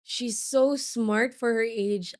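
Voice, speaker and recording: A Filipino woman with a Filipino accent hosts a casual interview, gushing warmly over her guest and dropping Tagalog words into her English. She talks at an unhurried pace, and her voice is wearing thin as she loses it. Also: a little high, fairly even intonation, no room sound, clean studio sound. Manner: effusive, mixes Tagalog into English. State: losing her voice. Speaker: podcast host.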